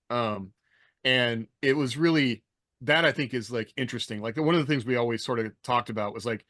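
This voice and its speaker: A man on a podcast panel explaining things casually, in a halting way with frequent rephrasing. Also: mid-range voice, fairly bright tone, dry recording, studio quality. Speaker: podcast panelist, military veteran.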